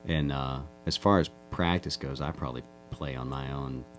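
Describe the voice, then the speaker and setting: A man's voice: extremely low pitch, moderate pace, faint music behind. A middle-aged man, a guitarist chatting casually and joking in a radio interview. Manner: easygoing, joking, rambling. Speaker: guitarist in a duo.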